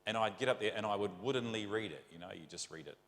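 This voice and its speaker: A man's voice: on the low side, very fast, fairly bright tone, echoey room, big-room sound. A middle-aged man with a New Zealand accent preaching a conversational, rambling sermon full of rhetorical questions. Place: church.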